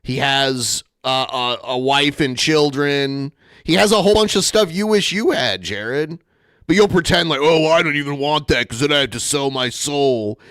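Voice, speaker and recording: A man's voice: on the high side, moderate pace, a bit bright, smooth, no room sound. Dry-sounding sarcastic male podcast host. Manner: sarcastic, rambling with many "like" fillers. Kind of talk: casual reaction commentary.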